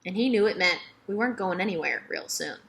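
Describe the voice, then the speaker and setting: A woman narrating a children's novel aloud in an expressive, emphatic storytelling manner. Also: medium pitch, fast talker, creaky.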